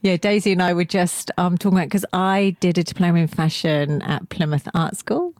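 A woman's voice: on the low side, fairly even intonation, a little fast, a little mellow, no room sound, studio quality. A middle-aged English woman hosting a community radio interview, chatty and enthusiastic, often jumping in. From England. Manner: chatty, enthusiastic, talks over guest. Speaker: radio host.

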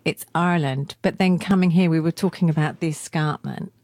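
A woman's voice: low-pitched, steady tone, fairly quick, a little mellow, no room sound. A middle-aged woman from Cornwall in England, hosting a radio music show and interviewing in a casual, chatty, effusive way.

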